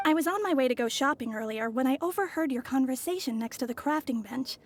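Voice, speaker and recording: Mysterious foreign traveler: high, soft, courteous, measured. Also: female voice, expressive. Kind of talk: video game voice acting.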